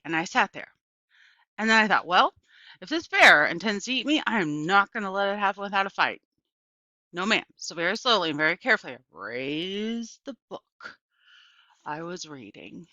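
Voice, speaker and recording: A woman's voice: fairly low pitch, sing-song, normal speed, sharp tone, no echo, highs cut off, full character voice. A woman voicing an elderly small-town librarian in a children's storybook, a folksy, emphatic storyteller who repeats herself and turns dramatic and wistful. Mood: dramatic, defiant. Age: older. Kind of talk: children's storybook read aloud.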